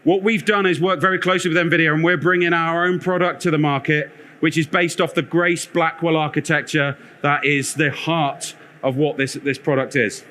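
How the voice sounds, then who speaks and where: A man with a loud, bright voice presents on a conference stage, pitched a little high for a man. He talks in an energetic, joking way, wandering about as he explains. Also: normal speed. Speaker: conference speaker, product lead. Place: conference theatre.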